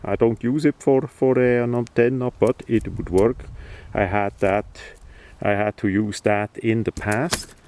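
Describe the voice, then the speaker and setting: A man presenting an informal, unscripted how-to demonstration in a halting, hesitant way. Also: lowish voice, steady tone, darkish tone, slight background noise. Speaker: video presenter, radio hobbyist.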